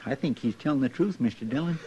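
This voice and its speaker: An actor playing a folksy, anxious, loyal deputy with an in an old-time radio drama. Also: male voice, medium pitch, fast, dark tone, dull audio, lightly acted. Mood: dismayed. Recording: slightly noisy old radio recording.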